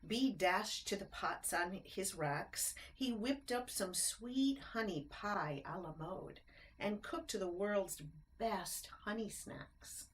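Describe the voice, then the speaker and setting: Slow-paced woman reading a children's storybook. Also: mid-range voice, slight background noise. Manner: expressive, explains and asks the listeners simple questions. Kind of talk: read-aloud of a rhyming storybook with chatty asides.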